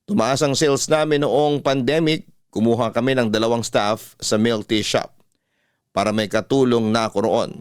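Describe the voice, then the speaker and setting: A man's voice: mid-range voice, steady tone, moderate pace, clean tone, no echo, studio quality. A man with a Filipino accent reading letters as a radio host, in an earnest, sentimental classic storytelling style. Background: soft music.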